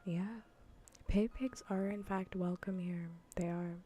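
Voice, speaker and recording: A woman streamer chatting softly in ASMR style close to the microphone, often in a breathy whisper, with a dry, unhurried, matter-of-fact way of talking. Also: mid-range voice, fairly even intonation, slight background noise. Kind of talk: soft-spoken ASMR gaming livestream chat.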